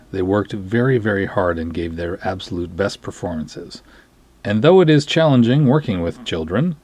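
An American man hosting a podcast, promoting to his listeners in an emphatic, deliberate, tongue-in-cheek way. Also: on the low side, a little mellow, no room sound. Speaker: podcast host, film writer-director. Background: music.

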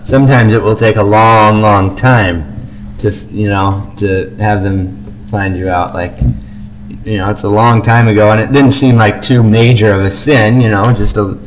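A man co-hosting a podcast, giving casual, measured reviews in a conversational, hedging way. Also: on the low side, fairly even intonation, muffled recording, a little hiss or hum. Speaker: podcast co-host.

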